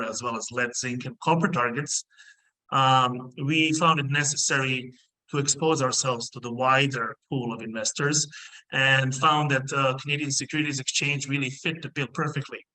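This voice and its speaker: Bright-voiced Bosnian-born executive, halting pace. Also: male voice, medium pitch, rough recording. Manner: measured, many filler pauses. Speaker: mining company CEO, guest. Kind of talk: business interview over video call. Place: indoor room. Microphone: video call.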